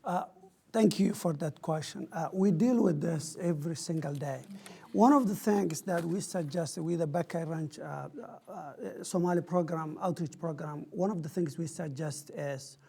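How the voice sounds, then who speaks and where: A man's voice: fairly high pitch, measured pace, vocal fry, dark tone, breathy edge. A man on a public panel, explaining his outreach work earnestly, with examples and questions. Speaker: panelist from a community outreach program.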